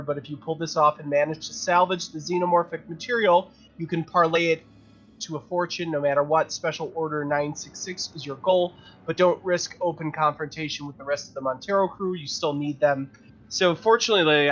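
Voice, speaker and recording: A man's voice: fairly high pitch, fairly bright tone. A man talking casually as a tabletop game player, informal and rambling in his delivery. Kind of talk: casual game debrief, sometimes reading notes aloud. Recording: dull lo-fi audio with faint noise.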